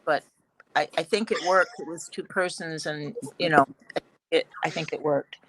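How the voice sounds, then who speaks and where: A woman's voice: low-pitched, steady tone, very noisy. A woman speaking as a panelist on a video call, thinking her answers through and often repeating words as she goes. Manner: halting, thoughtful, repeats words. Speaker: playwright panelist.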